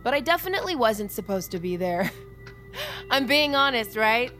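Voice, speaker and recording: An actress playing a cocky, irreverent young army recruit in a naturalistic audio drama, sardonic and flippant. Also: female voice, a little high, sing-song, normal speed, bright, forceful tone, soft background music. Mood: wry, teasing. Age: young adult.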